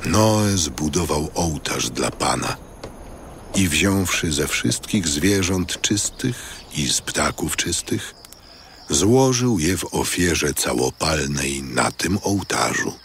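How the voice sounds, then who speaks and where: Male scripture narrator: bottomless bass register, slow, solemn delivery, audible ambient effects behind. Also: expressive, creaky, a bit bright, slight background noise. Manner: solemn, measured.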